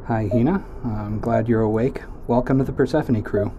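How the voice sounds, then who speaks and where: A man's voice: medium pitch, moderate pace, dull audio, noisy background, lightly acted. An actor playing an awkward, literal-minded young man on a spaceship crew who stammers when nervous. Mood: rehearsing.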